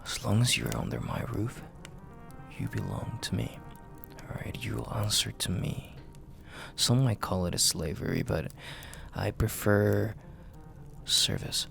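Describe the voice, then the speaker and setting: Mafia boss for audio roleplay, male actor: dominant and seductive man, slow, swooping delivery in a breathy gravelly murmur, teasing but in command. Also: on the low side, big pitch swings, fairly bright tone, soft background music, exaggerated voice. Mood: commanding, possessive. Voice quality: gravelly, breathy half-whisper.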